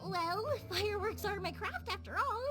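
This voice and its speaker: A woman playing a bubbly, bold young girl in a fantasy video game, perky and expressive. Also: extremely high pitch, moderate pace, loud music behind the voice. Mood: excited. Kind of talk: scripted video game acting.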